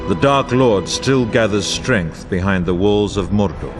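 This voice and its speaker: An actor playing a solemn, grave war chronicler, narrating a fantasy game in a grandiose, formal and portentous way. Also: male voice, fairly low pitch, animated, measured pace. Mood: solemn, foreboding. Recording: orchestral music bed under voice. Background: music.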